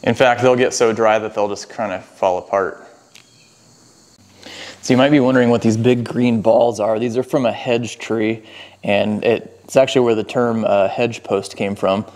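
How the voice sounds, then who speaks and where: A man's voice: lowish voice, fairly even intonation, moderate pace, a little mellow. An American man in his thirties talking casually and explaining things as a vlogger, on a clip-on mic. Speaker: foraging vlogger. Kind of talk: casual explanatory vlog talk. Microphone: clip-on wireless lapel mic.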